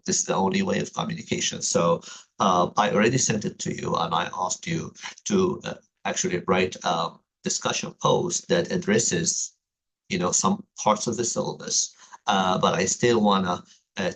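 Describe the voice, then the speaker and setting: Hesitant low-voiced Egyptian man teaching. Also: fairly even intonation, moderate pace, a bit bright, low-quality recording. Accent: Egyptian Arabic. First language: Arabic. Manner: hesitant, many fillers, careful explanatory delivery. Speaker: university writing instructor. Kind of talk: recorded online class introduction. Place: home, late at night.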